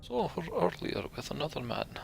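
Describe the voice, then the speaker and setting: A man's voice: a little high, very animated, normal speed, gravelly, a little hiss or hum, voice pushed up, heavily acted. A man doing a blunt, wry woman warrior.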